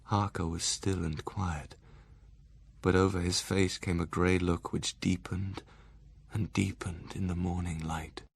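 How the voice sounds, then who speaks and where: A man's voice: low-pitched, level tone, a little slow, vocal fry, husky, no room sound. A man narrating a gothic story in a dramatic style.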